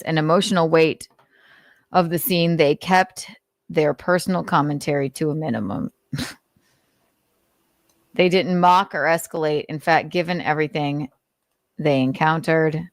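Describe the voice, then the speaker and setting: A woman's voice: low voice, no echo. A woman host giving commentary while reading aloud, sardonic and exasperated, with casual swearing. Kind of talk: commentary mixed with dramatic reading.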